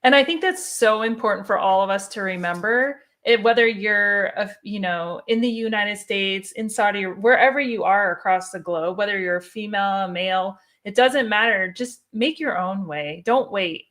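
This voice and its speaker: A woman's voice: medium pitch, moderate pace, few pauses, fairly bright tone. A woman sharing a motivational personal story in an emphatic, encouraging way on a podcast. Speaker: podcast speaker, business owner.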